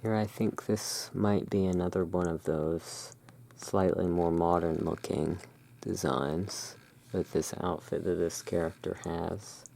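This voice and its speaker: Low creaky asmr roleplay host, super even tone, hushed n musing, describing art. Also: slow. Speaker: host of a roleplay as a curiosity emporium owner.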